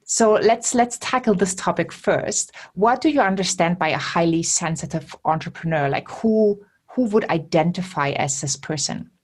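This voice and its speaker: A woman's voice: fairly low pitch, normal speed, few pauses, husky. A German woman with a German accent hosting a podcast interview, enthusiastic, laughing often and repeating words as she starts sentences. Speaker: podcast host. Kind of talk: podcast interview and sponsor read.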